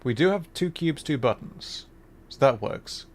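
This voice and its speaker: A man's voice: medium pitch, expressive, unhurried. A man streaming a game with casual commentary, talking to himself as he works things out. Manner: muttering to himself while working through puzzles. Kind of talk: casual livestream gameplay commentary, thinking aloud.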